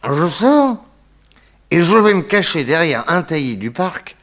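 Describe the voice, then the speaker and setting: An actor playing a cocky, excitable male private detective in a 1960s French radio comedy serial, theatrical and comically hesitant as he recounts his case. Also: a little high, unhurried. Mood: brisk, commanding.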